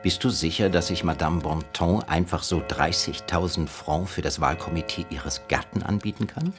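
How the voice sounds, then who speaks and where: An actor playing an earnest, naive and courteous gentleman, a devoted friend, in a German radio drama. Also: low voice, normal speed, over music, natural voice. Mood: practical doubt.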